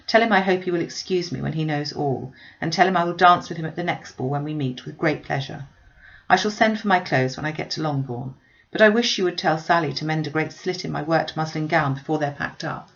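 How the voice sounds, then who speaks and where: Giddy storybook teen from a period novel female reader playing her: self-pleased, chatty, gravelly edge, dull recording. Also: sits low. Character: giddy, careless teenage girl writing of her elopement.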